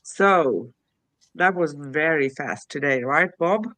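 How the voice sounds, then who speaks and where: A woman's voice: sits low, expressive, slow pace, fairly bright tone, no echo. A woman opening a talk show on a video call. She is cheerful and laughs a lot, and she has a cold. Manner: cheerful and bubbly, with frequent light laughter. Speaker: co-host. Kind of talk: show host introduction.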